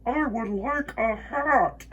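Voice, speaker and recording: A man voicing a storybook moon as a slow, distant echo, mimicking in drawn-out phrases. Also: extremely high pitch, fairly bright tone, husky, highs cut off, voice pushed up, exaggerated voice.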